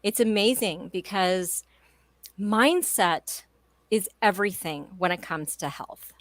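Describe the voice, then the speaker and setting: A woman's voice: medium pitch, animated, slow pace, no room sound. A woman in her forties speaking as a guest in an interview, emphatic and enthusiastic in a motivational way. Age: 40s. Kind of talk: conversational interview.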